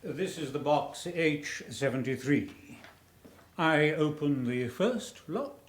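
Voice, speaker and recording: Prim, high-pitched vault manager. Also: male voice, lively intonation, takes it slowly. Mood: formal, officious. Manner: formal, precise, officious. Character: prim, guarded male safe-deposit manager. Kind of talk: radio drama acting. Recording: roomy, echoing sound.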